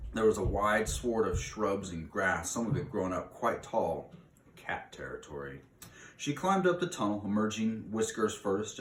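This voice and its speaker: A man reading a children's novel aloud in an informal way, sometimes stumbling and rereading a phrase. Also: deep voice. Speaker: read-aloud host. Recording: roomy echo, distant mic, faint noise.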